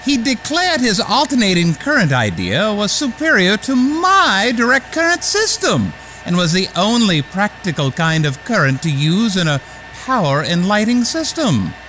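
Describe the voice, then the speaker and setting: An actor playing a pompous, scornful established inventor, speaking with deliberate condescension in a radio drama. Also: male voice, high voice, very animated, bright, forceful tone, full character voice. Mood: dismissive. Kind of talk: radio theater acting. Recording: music under the voice.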